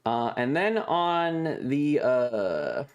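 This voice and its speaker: A man streamer talking casually and rambling through his material, halting often with stretched pauses. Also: mid-range voice, big pitch swings, smooth. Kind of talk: casual livestream chat over trip photos and videos.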